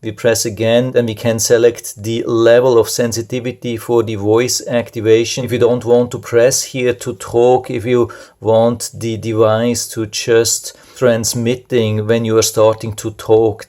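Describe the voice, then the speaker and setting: A man's voice: medium pitch, mellow. A man giving a casual, unscripted product demonstration in a matter-of-fact, explanatory way. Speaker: product reviewer.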